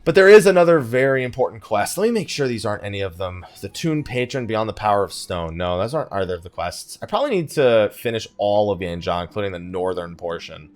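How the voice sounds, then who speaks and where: A man's voice: lowish voice, expressive, fast talker, hardly any pauses. A man giving casual let's play commentary, chatting and rambling as he thinks aloud while he plays. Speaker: gaming YouTuber, let's play host.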